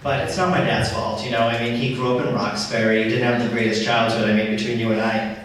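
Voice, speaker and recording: A man's voice: medium pitch, flat delivery, fast, fairly bright tone. A man from the Boston area performing an amateur stand-up routine live on stage. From Boston area, United States. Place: live stage before an audience. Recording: echoey hall, distant mic, audience crowd.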